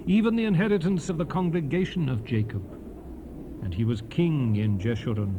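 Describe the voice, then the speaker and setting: A man's voice: mid-range voice, lightly acted. An actor playing Moses as a stern, fervent aged prophet, declaiming King James scripture in a solemn, emphatic, oratorical way. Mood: solemn blessing. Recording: noisy 1970s cassette rip with hiss.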